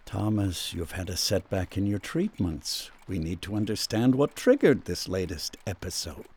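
An actor in a radio drama playing a patient, gently persistent psychiatrist questioning someone. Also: male voice, sits low, normal speed, breathy edge. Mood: gently probing.

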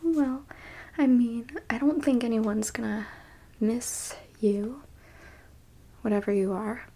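A woman playing a smug, teasing alien abductor in an ASMR roleplay, speaking softly in breathy half-whispers with condescension. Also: a little high, steady tone, takes it slowly. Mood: amused, mocking. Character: smug, teasing female alien abductor examining a captured specimen.

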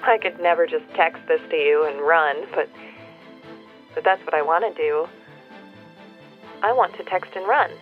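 An actress playing a torn young small-town woman with big dreams, in a heartfelt, halting radio play monologue. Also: deep voice, natural voice. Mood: torn, yearning. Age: young adult. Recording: muffled audio with faint music. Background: faint music.

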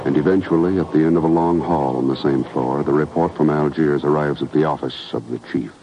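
A man's voice: a bass voice, a little fast, a little hiss or hum. A man with an American accent doing formal, emphatic scripted announcing in the manner of 1950s radio. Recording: muffled vintage radio, music behind.

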